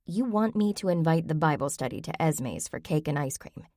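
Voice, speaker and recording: Woman reading as a sensible lady, questioning, lowish voice, dry. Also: lively intonation, normal speed, darkish tone, no echo. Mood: puzzled. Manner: questioning, reasonable. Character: puzzled, practical adult woman leading a Bible study.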